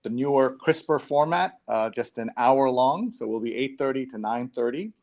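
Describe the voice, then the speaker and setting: A man's voice: medium pitch, animated, normal speed, a little mellow. A man from New York hosting a live talk show in a casual, conversational presenting style. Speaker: show host. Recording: dull, lo-fi online stream audio.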